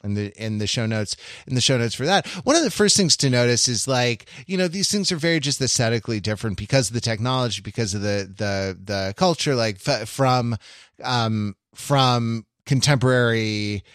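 A man co-hosting a podcast in casual discussion, speaking haltingly and wryly as he searches for words. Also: medium pitch, expressive, a bit bright, dry recording, clean studio sound. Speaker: podcast co-host. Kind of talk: casual pop-culture discussion.

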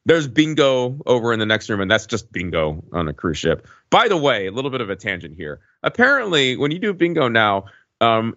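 A man on a podcast casually recounting an experience in a wordy, halting way, often correcting himself. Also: on the low side, fairly bright tone. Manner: wordy, halting delivery with frequent hedging and self-corrections. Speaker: podcast regular recounting a trip. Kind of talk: casual chat, rambling storytelling. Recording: dull lo-fi, roomy echo.

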